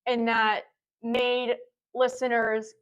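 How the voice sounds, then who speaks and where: A woman's voice: fairly high pitch, flat delivery, slow pace, clear, resonant tone, soft background music. A young woman, a podcast guest, talking at length in a reflective, casual way. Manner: reflective, talkative, explains at length.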